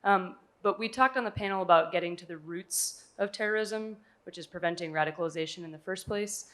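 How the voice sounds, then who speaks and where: A woman's voice: on the low side, a little fast, fairly bright tone. An American woman speaking from the audience, putting a formal question to a panel. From United States.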